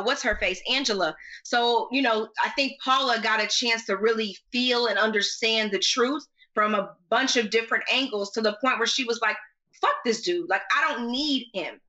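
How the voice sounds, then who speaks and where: A woman's voice: on the high side, bright, forceful tone. A young American woman co-hosting a casual TV recap, talking in an emphatic, opinionated, conversational way over a video call. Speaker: podcast co-host. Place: small home room indoors. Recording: slightly dull video-call audio.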